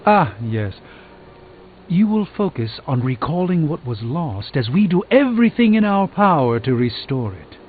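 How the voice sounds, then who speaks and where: An actor playing a pompous, learned sorcerer in a video game, speaking in a grandiose, lecturing way. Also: male voice, a little high, big pitch swings, unhurried, slight background noise. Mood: lofty, patient. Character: pompous, theatrical, learned male sorcerer and mystic. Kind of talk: theatrical video game dialogue. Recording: thin phone-like band, music behind.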